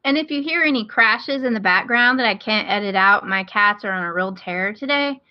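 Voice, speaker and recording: A woman's voice: mid-range voice, moderate pace, dry recording, highs cut off. A woman hosting a podcast, talking casually in a chatty, enthusiastic way about things she recommends. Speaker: podcast host.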